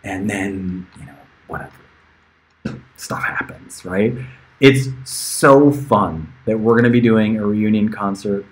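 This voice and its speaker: A man's voice: lowish voice, takes it slowly, breathy edge. A middle-aged man chatting casually on a livestream in a rambling, informal way that drifts from one aside to the next. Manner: rambling, informal, easily sidetracked, chuckling now and then. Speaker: musician livestreaming to friends and fans.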